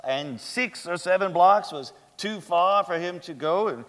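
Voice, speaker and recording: A man's voice: high-pitched, animated, measured pace, a bit bright. A man playing a skeptical lawyer pressing witnesses in a staged period courtroom drama. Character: pressing, skeptical cross-examining lawyer in an 1890s trial. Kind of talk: staged dramatic reading of a trial. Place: large theater stage, indoors. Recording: hall reverb from a theater stage.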